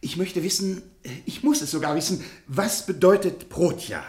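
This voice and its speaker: An actor playing a timid, hesitant professor who stammers with frequent pauses, in a radio play. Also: male voice, high-pitched, darkish tone, lightly acted. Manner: hesitant, stammering, easily bewildered. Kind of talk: 1950s radio play acting.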